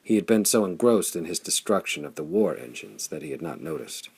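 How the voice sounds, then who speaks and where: A man's voice: low-pitched, animated, fairly quick, vocal fry, darkish tone, husky. A man reading pulp adventure fiction as an audiobook narrator, in a dramatic scripted style.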